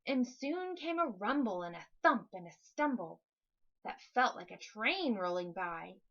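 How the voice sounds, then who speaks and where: A woman reading a rhyming children's story aloud in an expressive narrating style. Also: mid-range voice, measured pace, lo-fi sound. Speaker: storybook reader.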